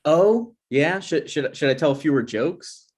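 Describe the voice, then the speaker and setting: A man's voice: a little high, lively intonation, measured pace, darkish tone. A man playing an insecure, defensive boyfriend in his twenties in a comedy script table read. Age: young adult.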